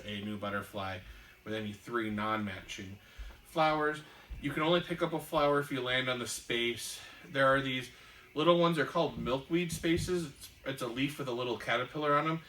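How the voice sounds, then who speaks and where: A man walks through the rules of a board game in a casual, halting way, stumbling over restarts and filling gaps with ums. His voice is bright and sharp. He sits some distance from the mic in a roomy, echoing space with a faint hiss behind him. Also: medium pitch. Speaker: podcast co-host teaching a board game. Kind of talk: casual explaining. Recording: echoey room, distant mic.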